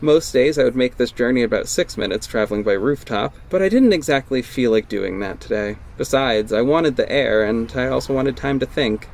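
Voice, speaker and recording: A man's voice: medium pitch, a little mellow, a little hiss or hum, close to an everyday voice. Sardonic young PI and narrator, a man's own voice, plain dry delivery. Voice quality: dry, sarcastic. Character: sardonic young male private investigator and vigilante. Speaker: first-person narrator. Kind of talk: scripted audio drama narration.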